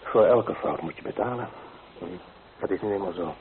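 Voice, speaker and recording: A man's voice: low voice, moderate pace, crowd noise, lightly acted. An actor playing a kindly, fatherly newspaper writer in a radio play, reassuring and paternal in manner. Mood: firm, encouraging. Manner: reassuring, paternal, murmured hms. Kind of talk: Dutch radio play acting. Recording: thin, phone-like old radio recording.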